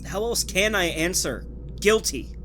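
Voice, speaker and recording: A man's voice: sits high, animated, unhurried, a bit bright, faint music behind. A man playing an anxious, poetic clerk in a dystopian audio drama, with an earnest, theatrical, emotive delivery. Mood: resigned. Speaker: actor. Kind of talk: audio drama acting, with reflective first-person narration.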